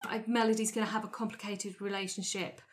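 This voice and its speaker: A woman player speaking casually and haltingly as she plans a character for a tabletop game. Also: mid-range voice, flat delivery. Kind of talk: casual chat planning a character.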